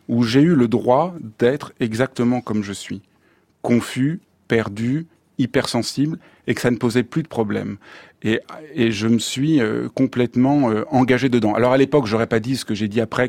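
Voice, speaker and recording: A man's voice: mid-range voice, moderate pace, a little mellow, no room sound. Thoughtful man, dry studio voice. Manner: thoughtful, searching, with many restarts. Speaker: guest, meditation teacher. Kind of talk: radio interview, reflective talk. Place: radio studio.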